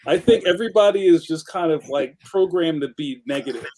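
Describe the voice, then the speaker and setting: A man's voice: a little high, a little fast, a little mellow, rough recording. Guy co-hosting a livestream on a call, loose and rambly, keeps saying you know. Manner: casual and rambling, many fillers and restarts, asks for agreement. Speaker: co-host cartoonist. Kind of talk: casual livecast chat. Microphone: video call.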